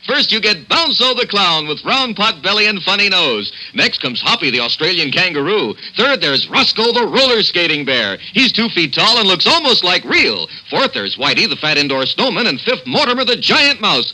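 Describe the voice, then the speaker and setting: High, very bright excited radio pitchman. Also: normal speed, few pauses, low-quality recording. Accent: American. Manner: excited, hard-sell. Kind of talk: 1950s radio advertising read.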